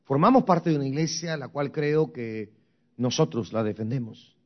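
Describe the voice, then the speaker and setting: A man's voice: mid-range voice, moderate pace, dull audio. A man from Guatemala preaching a sermon as pastor, with emphatic, rising and falling intensity. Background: soft music.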